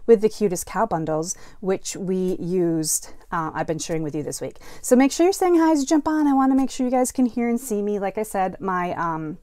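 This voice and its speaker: A middle-aged woman teaching a live card-making class from her craft room, chatting and greeting viewers as she demonstrates, feeling a bit foggy and slow today. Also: mid-range voice, lively intonation, mellow, no echo, studio quality.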